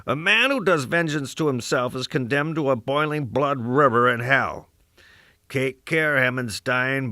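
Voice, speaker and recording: A man's voice: medium pitch, sharp tone, no echo, clean studio sound. A man voicing an aging, cantankerous, proud American poet in a rambling dramatic soliloquy, with a crusty, musing, oratorical delivery and salty asides. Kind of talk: dramatic first-person literary soliloquy.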